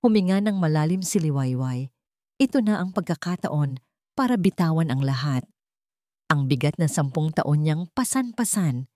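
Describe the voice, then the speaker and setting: A woman's voice: sits low, animated, moderate pace, mellow, no echo, studio quality. A woman with a Filipino accent reading a scripted dramatic story as its narrator, in the manner of a radio drama.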